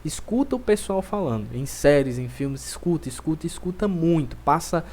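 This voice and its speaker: A man with a Brazilian Portuguese accent teaching an online language lesson, coaxing and lively, often adding tag questions. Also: on the high side, moderate pace.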